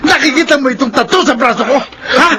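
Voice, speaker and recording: A Filipino actor playing a wronged, rough but loving older brother in a radio melodrama, earnest in his delivery. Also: very high voice, quick pace, heavy background noise. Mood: furious. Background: music.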